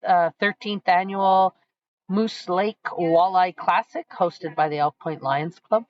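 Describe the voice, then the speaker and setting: A woman's voice: lowish voice, slow pace, highs cut off. A woman from Canada co-hosting a podcast, playful and giggly in casual banter, recorded at an outdoor market. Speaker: podcast co-host. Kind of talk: casual chat.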